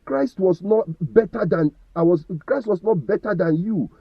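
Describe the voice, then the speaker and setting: A man's voice: high-pitched, lively intonation, normal speed, gravelly, slightly rough, no room sound, dull audio. A Nigerian man giving impassioned opinion commentary, firing rhetorical questions and stumbling over repeated words.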